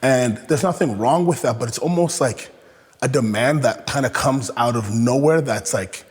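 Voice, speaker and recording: A man's voice: medium pitch, moderate pace, breathy edge. A young man from Canada talking as an interview guest, reflective and hesitant, with many fillers and restarts. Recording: echoey room with long reverb.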